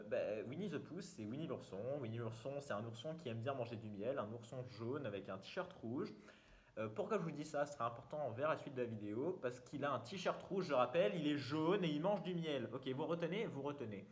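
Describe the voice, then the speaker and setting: A young man, hosting a casual vlog in a rambling, jokey way, full of fillers and restarts. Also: medium pitch. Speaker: YouTuber. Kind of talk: casual self-mocking vlog.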